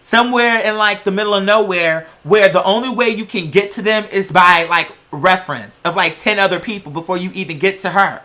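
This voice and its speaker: An online creator with a bright, forceful, mid-pitched voice ranting casually to viewers. The delivery is sassy and emphatic, full of slang and swearing. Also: a little fast, few pauses. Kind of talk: casual opinionated rant to viewers.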